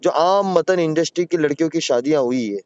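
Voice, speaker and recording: A man's voice: on the high side, a little fast, a little mellow, clean tone, no room sound. A man from Gujarat pleads his case deferentially and earnestly as a caller in a private phone conversation. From Gujarat, India. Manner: deferential, earnest, repeatedly addressing the listener respectfully. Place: on the road, phone call. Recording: muffled, dull phone-call recording.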